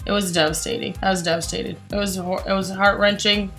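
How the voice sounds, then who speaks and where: A woman's voice: medium pitch, steady tone, a little fast, a bit bright, faint music behind. An American woman in her forties chats casually as a co-host, telling personal stories in a candid, self-mocking way. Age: 40s. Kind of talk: casual conversational storytelling.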